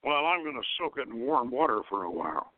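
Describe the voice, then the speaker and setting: A man voicing a tough, wary, laconic hero in a sci-fi story, gruff and terse with a drawl. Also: medium pitch, big pitch swings, quick pace, dry recording, phone-quality sound, close to an everyday voice. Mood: gruff, suspicious.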